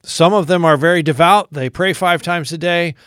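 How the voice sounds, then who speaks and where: This is an American man hosting a radio interview, calm and attentive. His voice is smooth and fairly high for a man. The sound is dry and close to the mic. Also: moderate pace, no echo. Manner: calm, attentive, sums up the guest's words. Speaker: radio show host.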